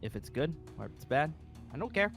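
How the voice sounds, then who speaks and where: A man playing a pompous, commanding colonel of a survivor base in an improvised Minecraft zombie roleplay, with halting, theatrical delivery. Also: on the high side, very animated, creaky, a bit bright, soft background music, exaggerated voice. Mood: stern, commanding.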